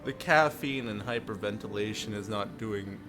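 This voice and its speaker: A man's voice: on the low side, moderate pace. A man livestreaming a visual novel, reading aloud theatrically and breaking off for playful, jokey asides. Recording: music behind the voice. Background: faint music.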